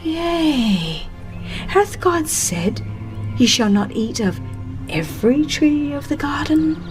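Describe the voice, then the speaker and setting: A sly serpent as a woman narrator puts it on speaks slowly and persuasively, drawing out the words with sinister insinuation. Faint music runs behind this dramatized audiobook figure. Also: high voice, sing-song, pitched above the performer's own voice, exaggerated voice. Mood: sly tempting. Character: cunning serpent tempter, smooth, persuasive, sinister.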